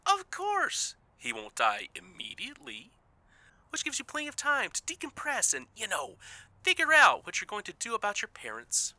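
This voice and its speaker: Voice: medium pitch, wildly swooping pitch, normal speed, pushed and bright, no echo, exaggerated voice. Actor as uncanny imaginary trickster, wildly swinging intonation, sugary condescension, smug amusement, audio drama, close dry sound. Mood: gleeful, taunting. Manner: teasing, sing-song, condescending, with amused chuckles. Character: playful, eerie imaginary best friend, unreal being, smug trickster. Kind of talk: audio fiction podcast acting.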